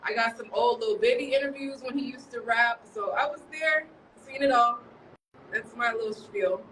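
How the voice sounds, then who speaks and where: A woman in her thirties from Chicago, a journalist chatting in a relaxed, rambling way and laughing often during an interview. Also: fairly high pitch, normal speed, distant mic, slight background noise, rough recording. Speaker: journalist guest. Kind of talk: casual interview chat. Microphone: livestream from a distance.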